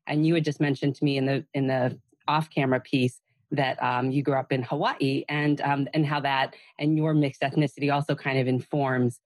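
A woman hosting a podcast interview from a home in Massachusetts over a video call, speaking gently and meanderingly with soft fillers. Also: very deep voice, little pitch movement, normal speed, no room sound. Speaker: podcast host.

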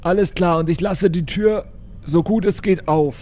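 A man with a fairly high voice plays in an improvised tabletop role-playing game. He moves between speaking as his character and saying what he does. There is music softly behind him. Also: moderate pace.

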